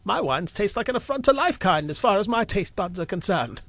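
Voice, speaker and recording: A man's voice: sits high, big pitch swings, brisk, a bit bright, no room sound, voice pushed up, exaggerated voice. A man voicing an eccentric, mischievous old man in an audio story, theatrical and full of teasing exclamations. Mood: breezy, friendly. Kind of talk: audio story character acting.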